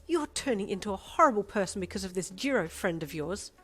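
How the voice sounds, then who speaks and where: A woman voicing a pushy, easily upset middle-aged mother who coaxes softly and then turns shrill. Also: lively intonation, fairly quick, faint music behind. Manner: soft and coaxing, turning shrill and gasping.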